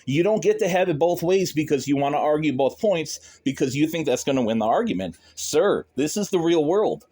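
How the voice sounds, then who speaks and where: A man's voice: fairly high pitch, animated, a little fast, warm tone. A man arguing on a phone call as a pet owner confronting a neighbour, in a stern, insistent, lecturing manner. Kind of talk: argumentative phone conversation.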